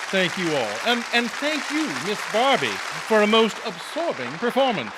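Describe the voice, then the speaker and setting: A man's voice: sits high, huge pitch swings, moderate pace, a loud crowd, heavy background noise. A man hosting and announcing a show in an old-time radio style, showy and formal.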